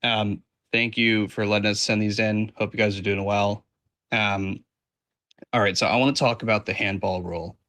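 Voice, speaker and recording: A man's voice: fairly low pitch, fairly even intonation, normal speed, sharp tone. A man sending a friendly, casual voice message to a football podcast. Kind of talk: recorded voice note.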